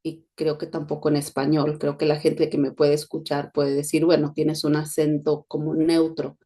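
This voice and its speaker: A middle-aged Mexican-born woman speaks in a neutral Spanish accent, her voice low and warm. She talks in a steady, flat-toned, reflective way, like a host sharing her story on a podcast. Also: moderate pace. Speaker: podcast host, education consultant. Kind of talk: personal monologue podcast.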